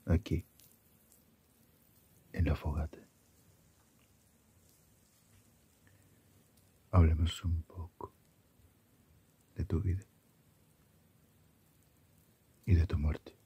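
Casting: male performer as calm, philosophical Death for ASMR roleplay; very low, husky, breathy half-whisper, gentle and musing. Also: muffled recording, exaggerated voice. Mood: soothing, welcoming. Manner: unhurried, musing, asks reflective questions.

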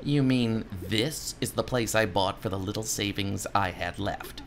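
A man voicing an exasperated, sarcastic adventurer in a game, in an excitable, theatrical manner. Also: lowish voice, measured pace, gravelly, slight background noise, voice pushed down. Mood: incredulous. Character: exasperated, sarcastic male adventurer and new homeowner.

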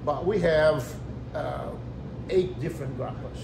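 A man in his fifties who owns a restaurant, explaining things in an animated, gesturing way during a casual interview. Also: fairly high pitch, measured pace, vocal fry. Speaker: restaurant owner. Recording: echoey room with ambient noise.

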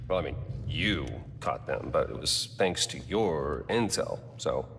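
A scheming male tech CEO from a video game, with a very low voice that rolls up and down a lot. He taunts in a smooth, condescending, laid-back drawl. The sound carries a long reverb with faint ambience behind it. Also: lively intonation, fairly bright tone, a little hiss or hum, exaggerated voice. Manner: casual, taunting, drawn-out pauses. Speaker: corporate villain. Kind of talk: video game cutscene acting.

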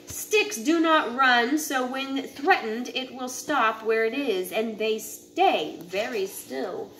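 A woman in her thirties reading a children's picture book aloud in a deliberate, instructive way, now and then stumbling over a word. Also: a little high, fairly bright tone. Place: home living area with kitchen, indoors. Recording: noisy home recording, room ambience.

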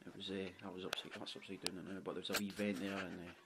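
A man giving a casual walkthrough of a flat he is renovating, chatty and frugal, swearing casually, recorded on a handheld camera indoors. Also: sits low, fast talker, very noisy. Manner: chatty, frugal, swears casually, repeats "you know what I mean". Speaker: home renovator. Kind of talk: walkthrough tour narration. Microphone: handheld camera mic.

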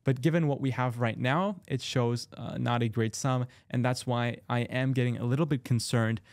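A young man talks through trading charts quickly and casually, full of fillers and restarts, his throat a little sore. He sits close to a studio mic, and the sound is dry and close with a mid-range pitch. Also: fairly even intonation, no echo. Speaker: crypto chart analyst. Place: small room at a desk. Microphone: large studio mic close in frame.

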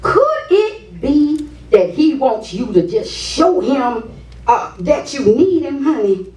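A sassy old American grandmother in a comic stage play, acted by a woman. Her voice is high and very animated as she hands out folksy advice, doubling back on her words. It sounds distant in a reverberant space. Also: slow, exaggerated voice. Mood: bossy, advising. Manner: folksy, repeats words, calls people baby and sugar. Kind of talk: comedic stage play acting. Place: indoor stage set in a hall. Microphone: distant camera. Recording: echoey stage, picked up from afar.

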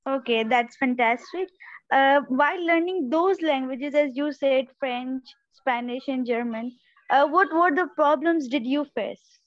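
A woman's voice: high-pitched, a little slow, no echo, highs cut off. A woman learner politely and carefully asking questions in an online class. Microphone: video call.

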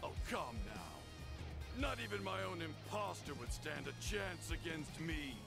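An actor playing a proud, arrogant warrior prince in a video game, with a haughty, scornful manner. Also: male voice, fairly high pitch, animated, measured pace, vocal fry. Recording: game audio over loud music.

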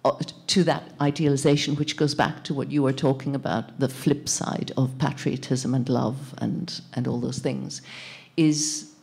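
Low-voiced woman, halting and searching. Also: little pitch movement, vocal fry, a little mellow. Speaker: panel moderator. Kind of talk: thoughtful panel discussion speech. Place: indoor panel event in a plain gallery room. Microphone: handheld microphone.

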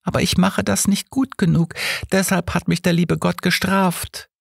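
A man's voice: sits high, little pitch movement, normal speed, creaky, breathy edge, no room sound, studio quality, voice pushed up, exaggerated voice. A man voicing a very young, guilt-ridden woman in an audiobook, timid, fragile and sobbing. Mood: tearful, guilty. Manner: timid, unsure.

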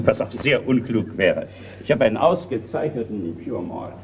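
An actor playing a courteous older retired colonel in a German radio crime play, measured and a little hesitant. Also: male voice, on the low side, lively intonation, close to an everyday voice. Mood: hospitable, polite. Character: retired colonel, older male art collector, courteous Scottish host. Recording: dull, noisy old radio recording.

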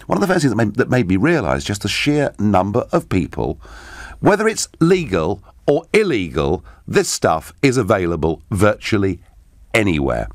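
A middle-aged man hosts a radio phone-in, his fairly low voice swooping widely up and down as he stresses words. He sits close to a studio microphone, and the sound is dry and tight with no room echo. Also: sing-song, moderate pace. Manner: emphatic, swooping delivery, interjects with questions. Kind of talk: live radio phone-in, reading listener messages. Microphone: studio microphone with headphones.

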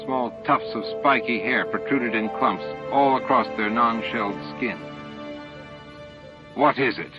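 A man's voice: mid-range voice, normal speed, hardly any pauses. A man reading scripted science fiction narration as an audiobook narrator. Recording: dull, band-limited audiobook transfer. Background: faint music.

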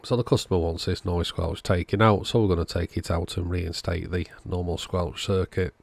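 A man's voice: low-pitched, fairly even intonation, moderate pace, creaky, no room sound. A man narrating a hands-on electronics repair video in a casual way as its host.

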